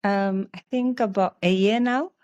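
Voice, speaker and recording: A woman's voice: medium pitch, steady tone, measured pace, a little mellow, clear, resonant tone, highs cut off. A woman from Zambia with a Zambian accent, telling her own story conversationally as an interview guest. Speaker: interview guest, health professional.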